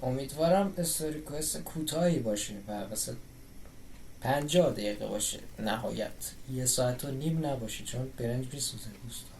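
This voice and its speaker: A woman's voice: extremely low pitch, rapid-fire, a little mellow, a little hiss or hum. A woman streaming a game with casual commentary and wry, teasing asides.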